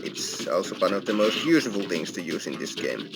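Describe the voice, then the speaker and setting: A man's voice: sits low, big pitch swings, brisk, vocal fry. A man giving casual, explanatory let's play commentary as a gaming host, with non-native phrasing. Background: video game music.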